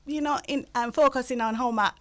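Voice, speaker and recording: Lively woman telling her story. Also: high-pitched, brisk, dry recording. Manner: lively storyteller who acts out quoted voices. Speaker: actress guest. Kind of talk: storytelling interview chat.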